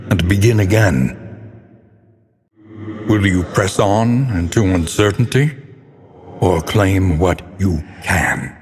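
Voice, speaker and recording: An actor playing a grave, brooding narrator of a gothic horror game, with solemn, theatrical, deliberate delivery. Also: male voice, low-pitched. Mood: stern, foreboding. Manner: ominous, solemn, deliberate, ornate phrasing. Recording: long cavernous reverb.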